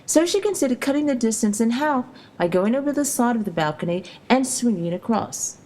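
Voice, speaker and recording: A woman's voice: fairly high pitch, expressive, fairly quick, darkish tone. A woman reading scripted storytelling narration for an educational video, explaining in a storybook-like way. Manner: storybook-like, explanatory delivery with rhetorical questions. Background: music.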